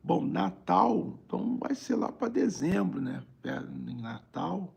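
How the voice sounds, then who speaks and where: A Brazilian man with a raspy, gravelly voice, a preacher talking conversationally on a live stream and repeating phrases for emphasis. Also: high voice, big pitch swings, slow pace, darkish tone. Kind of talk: informal livestream preaching, reading viewer greetings.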